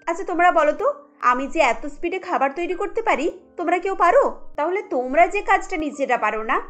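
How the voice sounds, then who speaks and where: Woman voicing a high, confident elder sister-in-law. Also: a bit bright. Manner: assertive, reasoning. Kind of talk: Bengali cartoon story acting.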